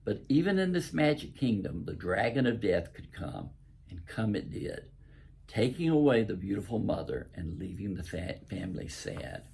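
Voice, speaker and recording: An older man from the American South with a Southern accent reads a story aloud. His voice is very husky and rough, with a gravelly creak and a lowish pitch. The delivery is folksy and deliberate, like a host sharing a fairy tale. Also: darkish tone. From southern United States. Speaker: host and author reading own stories. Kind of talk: storytelling, reading aloud from own book.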